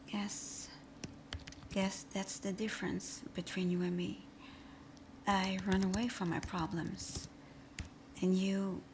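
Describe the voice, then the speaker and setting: A woman playing a supervillain thief whose teasing bravado hides a timid, wounded heart, in a breathy, often whispered, intimate ASMR roleplay style. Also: mid-range voice, noisy background, close to an everyday voice. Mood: smug, teasing. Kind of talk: ASMR roleplay audio drama.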